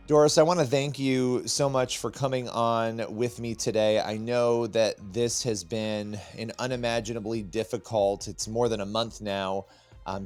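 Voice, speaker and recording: A man hosting an interview podcast, speaking earnestly, his voice slightly hoarse. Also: fairly low pitch, darkish tone. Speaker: podcast host.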